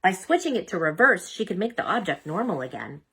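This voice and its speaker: A woman reading a children's storybook aloud as an expressive narrator. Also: lowish voice, fairly quick, slightly rough. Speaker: storybook reader.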